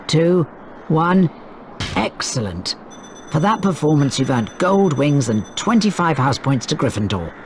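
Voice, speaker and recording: A man playing a brisk, encouraging broomstick sports coach in a fantasy video game, with energetic, instructive delivery. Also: on the high side, slight background noise. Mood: rousing. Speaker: Quidditch coach. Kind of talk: scripted video game tutorial.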